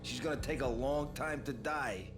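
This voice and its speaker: An actor playing a callous, gossipy mobster in a video game, chattering casually like a street tough. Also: male voice, medium pitch, moderate pace, close to an everyday voice. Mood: callous, amused. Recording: music behind the voice.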